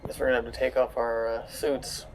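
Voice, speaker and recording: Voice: medium pitch, level tone, fairly quick, background noise. A tabletop role-player bantering casually, half in character, during a game.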